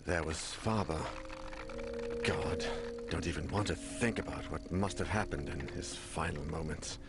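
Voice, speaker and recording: A man playing a haunted, weary investigator in a horror video game, speaking gravely and introspectively. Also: a bass voice, a little slow. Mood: haunted, somber. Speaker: game protagonist and narrator. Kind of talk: dramatic video game dialogue and brooding narration. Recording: loud music under the voice.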